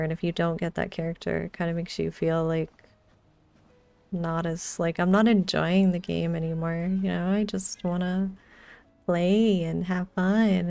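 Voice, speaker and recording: A woman's voice: on the low side, gravelly, a little mellow, smooth, no room sound. A woman streaming a video game, chatting casually with her viewers as she plays. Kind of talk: casual chat with viewers during gameplay.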